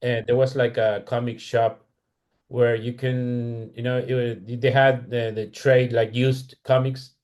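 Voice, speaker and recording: A man's voice: mid-range voice, level tone, unhurried, a little mellow. A man in his forties from Argentina with a Spanish-speaking accent, chatting casually and reflectively as an interview guest. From Argentina, also California and Spain. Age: 40s. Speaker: podcast guest, comic artist.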